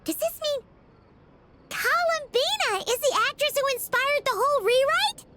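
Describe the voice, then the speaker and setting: Game fairy mascot: very high squeak, bubbly, excitable, swooping delivery. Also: female voice, moderate pace, heavy background noise. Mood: excited, curious. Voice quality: squeaky, childlike. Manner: bubbly, speaks of self in third person. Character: tiny excitable female floating fairy companion. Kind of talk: video game voice acting. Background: music.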